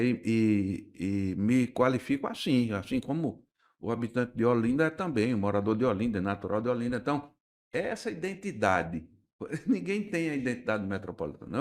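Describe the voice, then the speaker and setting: An older man from Recife with a Pernambuco accent, a guest architect and urban planner, explaining at length in a radio interview with frequent pauses and restarts. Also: medium pitch. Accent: Pernambuco Portuguese. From Recife, Brazil. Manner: measured, explanatory, hesitant with restarts.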